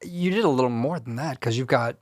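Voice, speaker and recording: A man's voice: medium pitch, a little fast, dry recording, clean studio sound. A man hosting a casual podcast interview.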